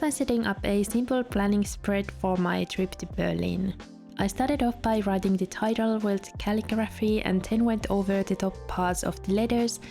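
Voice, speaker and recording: Mellow-voiced woman narrating a craft vlog. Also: mid-range voice. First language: Finnish. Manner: chatty, light laughs. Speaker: journaling vlogger. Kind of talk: scripted voice-over narration.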